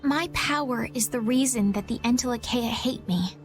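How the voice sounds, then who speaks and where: High-voiced gentle young healer girl played by a woman. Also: moderate pace, lightly acted. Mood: earnest, hurt. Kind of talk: video game dub acting. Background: background music.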